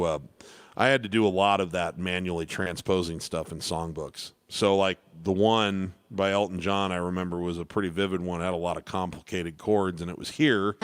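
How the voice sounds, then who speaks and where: Low-voiced man teaching piano casually. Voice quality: baritone. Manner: relaxed, rambling with restarts and fillers. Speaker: piano teacher hosting live Q&A. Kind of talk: casual live-stream teaching.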